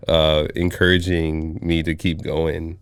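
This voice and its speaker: Deep-voiced man in his thirties. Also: slow, clean tone, no room sound, studio quality. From Zambia. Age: 30s. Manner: relaxed, reflective, with frequent fillers and restarts. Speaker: guest, coffee shop owner. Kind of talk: casual interview conversation.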